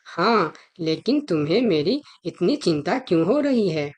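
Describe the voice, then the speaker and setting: Clever cartoon vixen woman acting, lowish voice with lively swings, persuasive and insistent. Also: measured pace. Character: clever, persuasive female fox, defiant and quick-witted. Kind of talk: Hindi animal fable cartoon dialogue.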